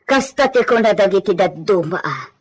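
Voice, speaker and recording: Husky, resentful village gossip played by a woman. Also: mid-range voice, animated, moderate pace. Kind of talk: Ilocano audio drama acting.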